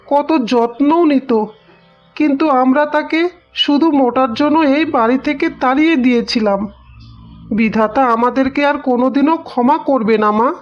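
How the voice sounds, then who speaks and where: A woman's voice: high voice, natural voice. A woman voicing an earnest, plaintive young husband and devoted son in a melodramatic cartoon. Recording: dull, band-limited audio.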